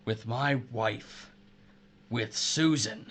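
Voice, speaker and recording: Guy playing a betrayed husband gone killer, sharp forceful voice, sarcastic and toying with his victim, audio drama. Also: medium pitch. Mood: bitter demanding. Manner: taunting, sardonic, drawn-out deliberate menace. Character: betrayed, vengeful husband turned sadistic murderer, missile silo worker.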